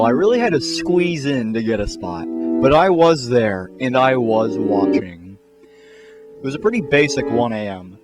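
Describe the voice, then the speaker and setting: A man narrating a comic fan-fiction story in a theatrical, mock-serious way. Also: medium pitch. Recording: music behind the voice.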